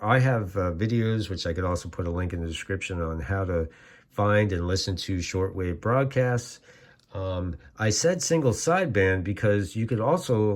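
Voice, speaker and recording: A man giving a casual, plain-spoken explainer as a video host, talking in a halting, rambling way. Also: low voice. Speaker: amateur radio video host. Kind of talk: casual informal explainer to camera.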